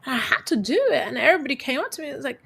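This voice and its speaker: A woman with a fairly high voice speaks as a guest on an interview show. She tells her own story earnestly and turns it into advice, repeating phrases for emphasis. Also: expressive, rapid-fire, vocal fry, slightly rough. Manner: calls herself quiet-voiced. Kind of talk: reflective motivational storytelling.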